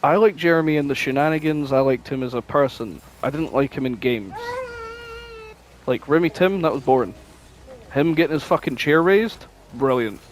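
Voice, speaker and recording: A man's voice: mid-range voice, normal speed. A man chatting casually as a podcast co-host, blunt, opinionated and free with swearing. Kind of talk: casual chat, reminiscing.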